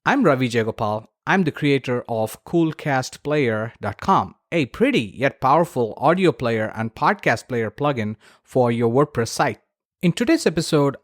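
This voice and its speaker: A man's voice: mid-range voice, no echo, clean studio sound. A man hosting a solo podcast, explaining things conversationally with casual, emphatic asides to the listener. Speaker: podcast host.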